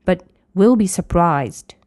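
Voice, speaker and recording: A woman who teaches English, reading each English line slowly and then translating it briskly. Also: on the low side, expressive, darkish tone, no echo, clean studio sound. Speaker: language teacher. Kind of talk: bilingual lesson commentary.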